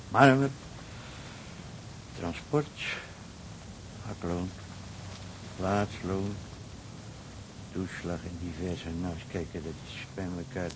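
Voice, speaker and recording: Casting: a fussy rural gravedigger and headstone seller, lowish voice, wheedling and repetitive, faint rumbling noise behind. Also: male voice. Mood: put out, reckoning. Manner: chatty, hesitant, wheedling salesman, repetitive. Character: fussy, money-minded village gravedigger selling headstones.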